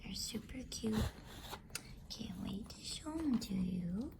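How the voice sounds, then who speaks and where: A woman whispering softly and breathily in a gentle, unhurried ASMR bedtime style. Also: mid-range voice, animated, gravelly, heavy background noise. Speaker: host and storybook reader.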